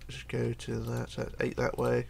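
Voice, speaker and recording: A man's voice: medium pitch, monotone, measured pace, creaky, darkish tone, a little hiss or hum. A middle-aged man with a cold talks through a casual game build tutorial at his home computer desk, chatting and counting blocks aloud, often mumbling as he goes. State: hoarse with a cold. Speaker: tutorial host.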